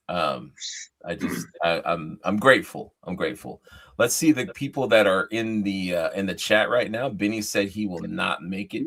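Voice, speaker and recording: A man's voice: fairly low pitch, a bit bright. A man with an American accent hosting a casual livestream chat. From California, United States. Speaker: host.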